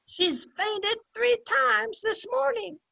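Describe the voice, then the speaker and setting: Sharp-tongued older housemaid woman actor, bright and gravelly, for old-time radio comedy. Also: very high voice, slow, heavily acted. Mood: insistent. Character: brash, wisecracking sarcastic housemaid in radio comedy. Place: room at home, video call. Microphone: video call.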